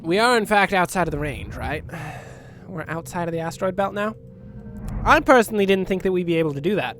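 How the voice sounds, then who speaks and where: A man's voice: high-pitched, lively intonation, fairly quick, a bit bright. Sniffly man rambling over game music. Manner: joking, rambling, talks to the game's characters. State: sniffly, runny nose. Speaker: let's play host. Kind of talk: rambling gameplay commentary. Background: game music and spaceship sounds.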